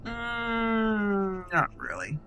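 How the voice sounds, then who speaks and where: A woman's voice: mid-range voice, big pitch swings, very slow, a bit bright, highs cut off. A woman giving casual video game commentary as a let's play host, musing and talking through her choices. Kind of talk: casual gameplay commentary, thinking aloud.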